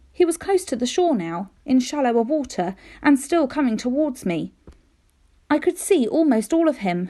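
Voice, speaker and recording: Animated woman reading a children's story. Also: high-pitched. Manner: animated, careful storytelling with dramatic emphasis. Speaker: teacher reading aloud to pupils.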